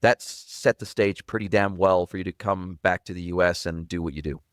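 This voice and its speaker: Deep-voiced New podcast host. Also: male voice, steady tone, moderate pace, no room sound, studio quality. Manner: long, rambling, emphatic statements. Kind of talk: podcast interview.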